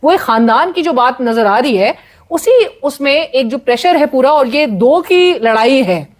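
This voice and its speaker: A woman speaks as a guest analyst on a political talk show. Her voice is somewhat high, and she explains things in a measured way, pausing on hesitant fillers as she works through each point. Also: animated.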